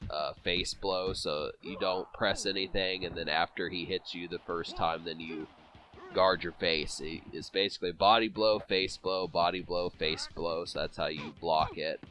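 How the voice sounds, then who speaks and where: A man's voice: deep voice, fairly even intonation, normal speed, a bit bright. A man with a cold, sniffling and congested, rambling through casual commentary on a boxing video game with many fillers and restarts. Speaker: let's play commentator.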